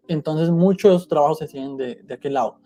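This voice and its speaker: A male host, native Spanish speaker, talks quickly with a slightly raised pitch and a mellow, dark tone. He laughs easily and stumbles when speaking English. Faint music plays behind him. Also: sing-song, creaky. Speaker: host, interviewer and translator. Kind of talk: casual interview, translating.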